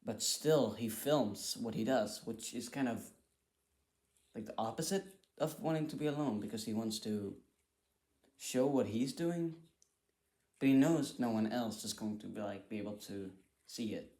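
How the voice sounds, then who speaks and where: A man's voice: medium pitch, measured pace, a little mellow. A man giving casual reaction commentary, thinking aloud in a hesitant, repetitive way. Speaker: reaction video host.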